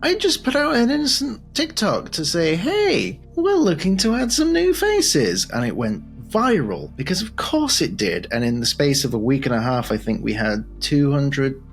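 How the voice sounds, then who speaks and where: Male podcast host, gravelly fry in the voice, casual interview chat, faint music underneath. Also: on the high side, wildly swooping pitch, normal speed, few pauses. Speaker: podcast host, game master.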